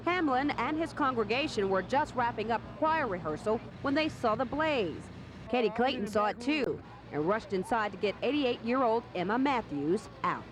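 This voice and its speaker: A woman's voice: fairly high pitch, expressive, normal speed, a crowd in the background. A woman narrating a scripted television news package as a reporter. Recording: faint background noise.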